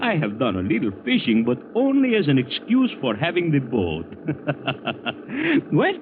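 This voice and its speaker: Excitable smuggler, old tinny radio. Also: male voice, fairly high pitch, a little slow, crowd noise. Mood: jovial, boastful. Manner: formal uncontracted English, animated and exclamatory. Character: excitable Latin smuggler, jovial, boastful accomplice. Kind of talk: 1940s radio drama acting. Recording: noisy tinny 1940s radio recording.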